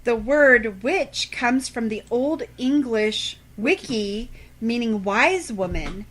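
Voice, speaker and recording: A woman's voice: a little high, animated, takes it slowly, fairly bright tone. A woman co-hosting a radio show, reading scripted trivia facts aloud.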